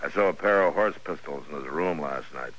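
An actor playing a stern, laconic, protective frontier marshal in a 1950s radio western drama. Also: male voice, rumbling low, brisk, fairly bright tone. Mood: quiet warning. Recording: noisy, crackly old radio recording.

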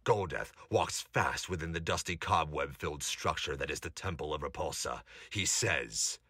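A man's voice: extremely low pitch, lively intonation, normal speed, sharp tone, slightly rough, no room sound, clean studio sound. A man narrating a scripted audio drama in a dramatic storytelling style. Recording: noisy home recording with clicks.

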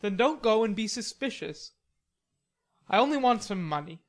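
Woman voicing a playful, teasing young gentleman, raised pitch. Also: animated, normal speed, lightly acted. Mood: mildly injured. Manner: playful, theatrical, exclamatory. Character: cheerful, teasing young gentleman, tall and melodramatic. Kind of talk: audiobook character dialogue.

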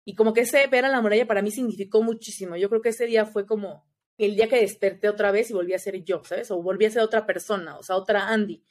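A young woman with a Mexican accent, telling a personal story as an interview guest in a chatty, animated way, often tagging lines with "you know?". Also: medium pitch, quick pace.

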